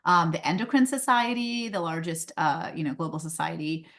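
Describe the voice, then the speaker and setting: A woman's voice: low-pitched, steady tone, a little fast, fairly bright tone. A woman who grew up in India, a pediatrician and company founder, giving a conversational webinar talk with frequent fillers over a video call. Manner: conversational, many um fillers, light laughs. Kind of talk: webinar presentation.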